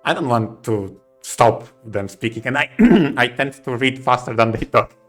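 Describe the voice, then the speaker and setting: A man co-hosting a podcast casually, speaking in halting, emphatic short phrases. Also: medium pitch, lively intonation, fairly bright tone, soft background music. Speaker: podcast co-host.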